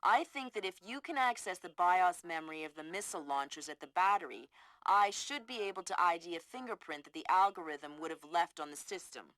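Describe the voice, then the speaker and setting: Technical analyst, lower pitch, brisk, precise, dry studio sound, video game. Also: female voice, animated, a bit bright, no room sound, rough recording. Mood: focused, matter-of-fact.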